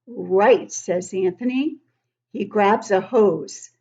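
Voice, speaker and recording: Animated woman reading slowly to young children. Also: mid-range voice, slightly rough, dull audio. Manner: animated, explanatory, child-directed. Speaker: read-aloud book club host for young children. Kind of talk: expressive picture-book reading with simple explanations.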